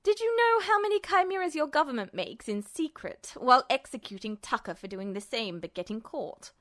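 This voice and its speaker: A woman's voice: high voice, lively intonation, brisk, a bit bright. A woman voicing a bitter, unhinged male villain, smug and sneering, in an audiobook story. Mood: smug menace. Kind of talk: audiobook-style character acting.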